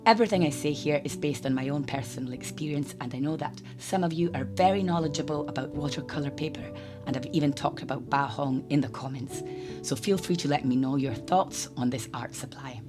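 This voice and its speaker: A woman hosting a watercolour channel, talking in a hoarse, croaky voice from a cold as she narrates a chatty tutorial voice-over. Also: low-pitched, fairly quick. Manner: chatty, explanatory, lightly humorous. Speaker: art channel host. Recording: voice-over with guitar music behind.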